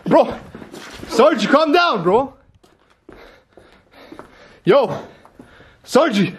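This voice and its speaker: Alarmed, high-voiced young man, "bro". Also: sing-song, very slow, a little hiss or hum. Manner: alarmed, urgent, repeats "bro" and "chill" constantly. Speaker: friend filming the vlog. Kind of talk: casual reactive commentary.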